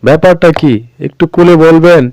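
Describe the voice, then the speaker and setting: A man's voice: fairly high pitch, steady tone, fairly quick, a little mellow, no room sound, close to an everyday voice, poor audio. A man voicing a deferential male fox sidekick in a cartoon, inquisitive and eager to agree. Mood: concerned, curious. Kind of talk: cartoon voice acting.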